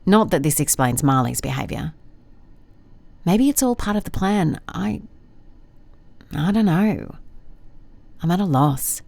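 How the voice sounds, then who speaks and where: A woman's voice: lowish voice, lively intonation, measured pace, gravelly, long pauses, darkish tone, no room sound, heavily acted. A woman playing a wry, methodical private investigator in an audio drama, musing and dictating case notes in a dry manner. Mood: musing, businesslike. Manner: dry, musing, clipped note-taking. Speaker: lead actor in audio drama. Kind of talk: first-person audio drama case log.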